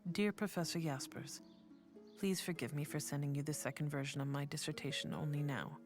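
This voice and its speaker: A woman reading letters as an earnest young woman philosopher, measured and formal. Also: sits low, over music. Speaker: reader of letters in a documentary.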